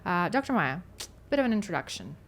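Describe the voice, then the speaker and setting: Low-voiced chatty woman host, native Russian speaker. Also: big pitch swings, fast talker, slight background noise. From Moldova. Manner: chatty, rambling with frequent "like" and "you know". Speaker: podcast host. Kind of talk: casual interview chat.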